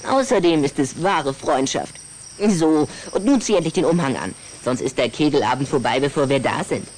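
Eine Frau spricht in einem Kinderhörspiel einen frechen, herrischen kleinen Vampirjungen, der gern neckt und prahlt. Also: female voice, low voice, expressive, normal speed, a little mellow, slight background noise. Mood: bossy, teasing. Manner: teasing, commanding, exclamatory. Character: cheeky, bossy little boy vampire, teasing and boastful. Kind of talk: German radio play acting for children.

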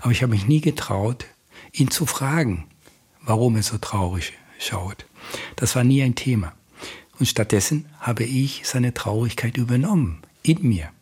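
A man's voice: medium pitch, animated, moderate pace, darkish tone, husky. A middle-aged man gives a reflective, thoughtful personal account as an interviewee. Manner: introspective, thoughtful.

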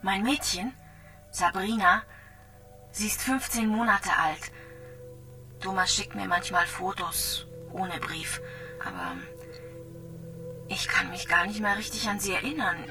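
An actress playing a gravely ill young mother in a radio drama, resigned, wounded and plainly confiding. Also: medium pitch, big pitch swings, a little slow, long pauses, bright, forceful tone, exaggerated voice. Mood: resigned, wistful. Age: young adult. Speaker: hospital patient. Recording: distant from the mic, music behind.

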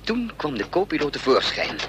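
A man narrating a Dutch radio play in the first person, measured and suspenseful. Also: fairly high pitch, huge pitch swings. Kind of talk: scripted dramatic narration. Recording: radio play recording with faint noise.

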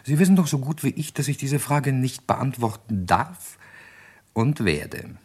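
An actor in a radio crime drama playing an evasive suspect in a mannered way. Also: male voice, medium pitch, very animated, a little slow, a little mellow, husky.

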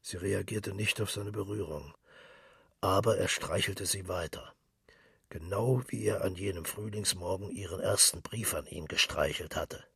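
A man with a husky, gravelly voice reads a literary story in as a dramatic radio narration, brooding and measured. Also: sits low, fairly bright tone, dry recording, clean studio sound.

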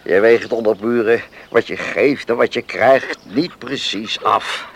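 A humble, good-natured older countryman with a gravelly voice. He speaks slowly in an old radio play, with faint noise behind him. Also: fairly low pitch. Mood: easygoing, grateful. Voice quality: creaky, gravelly. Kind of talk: radio drama acting.

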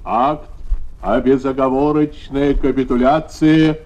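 A man makes a solemn, declamatory 1940s wartime radio proclamation in measured phrases on an old recording. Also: medium pitch, steady tone, darkish tone, highs cut off.

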